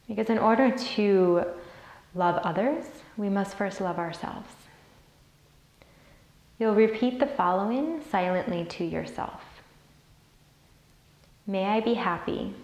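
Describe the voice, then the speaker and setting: A woman leading a guided meditation as a teacher, soothing and deliberate as she repeats phrases. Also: medium pitch, a little mellow. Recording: roomy, echoey sound.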